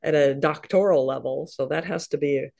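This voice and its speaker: A woman hosting an interview, warmly encouraging and enthusiastic, often laughing and affirming her guest in casual chat. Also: low voice, moderate pace, vocal fry. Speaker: podcast host.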